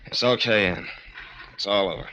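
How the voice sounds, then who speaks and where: An actor playing a fearless, mocking American plainclothes detective in a 1940s radio crime drama. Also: male voice, fairly low pitch, normal speed, pushed and bright, a little hiss or hum, low-quality recording. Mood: confident, scornful. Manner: cool, mocking, hard-boiled.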